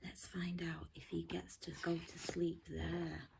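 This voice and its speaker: A woman teacher reading a children's story aloud expressively, often dropping to a hushed near-whisper and asking her young listeners questions. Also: on the low side, completely flat delivery, slow pace, creaky, a little hiss or hum.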